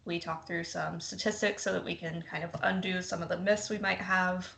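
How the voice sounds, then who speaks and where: A woman's voice: lowish voice, fairly even intonation, moderate pace, vocal fry, fairly bright tone. A young woman from Mississippi explaining a campus program over a video call, chatty and full of ums. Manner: chatty, frequent ums and likes. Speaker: campus prevention educator.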